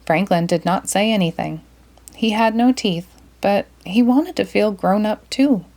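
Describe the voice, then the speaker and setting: A woman narrating a children's picture book aloud in an expressive, deliberate way. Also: medium pitch.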